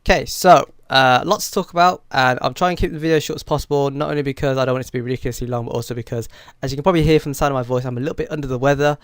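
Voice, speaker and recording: A man with a cold gives a casual gaming news rundown, his voice a little croaky and stuffy. His mid-pitched tone stays smooth and clear under the cold. The recording is close and dry, with no room sound. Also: steady tone, racing, no echo. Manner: chatty, frequent uh and um fillers. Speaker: gaming channel host.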